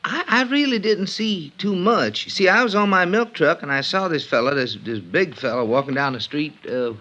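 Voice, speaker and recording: A nervous, deferential milkman from a vintage radio crime drama. His voice is fairly high, bright and jumpy in pitch. He hesitates and stammers apologetically, and the old recording is slightly dull. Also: lively intonation, moderate pace. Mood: nervous, eager. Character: timid, deferential milkman, a nervous male witness. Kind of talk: 1950s radio detective drama acting.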